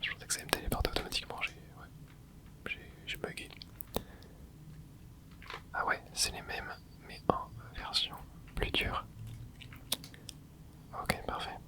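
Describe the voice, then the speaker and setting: A person whispering relaxed running commentary over a video game, with soft breathy tones, close to a microphone indoors. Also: a little slow, long pauses, poor audio. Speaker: gaming ASMR host.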